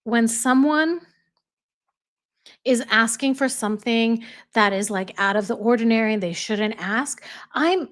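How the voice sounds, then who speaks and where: A woman's voice: fairly high pitch, measured pace, a bit bright. An American woman hosting a casual livestream, reading viewer comments aloud and laughing often as she chats. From United States. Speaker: livestream host.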